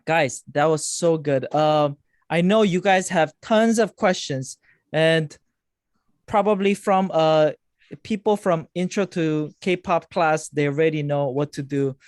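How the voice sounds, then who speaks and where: A man's voice: a little high, measured pace, no echo. A male producer teaching a masterclass over a video call, talking things through with plenty of "like" and a few restarts. His voice is dry and close, with no room sound. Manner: explains with many likes and restarts. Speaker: music producer hosting a masterclass.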